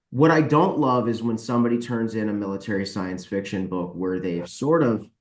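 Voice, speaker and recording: Low-voiced man in an echoey room. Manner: blunt, explanatory. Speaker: guest, book publisher. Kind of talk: casual interview answers.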